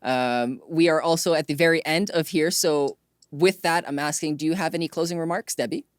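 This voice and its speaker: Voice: medium pitch, fairly quick, clean tone, no echo, studio quality. A podcast host chatting casually in an interview, asking questions in an agreeable, inquisitive way.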